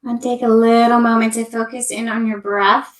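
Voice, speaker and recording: A woman gently guiding a yoga nidra meditation with soothing, unhurried instructions, as a teacher would. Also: fairly high pitch, flat delivery. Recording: echoey room, amateur sound quality.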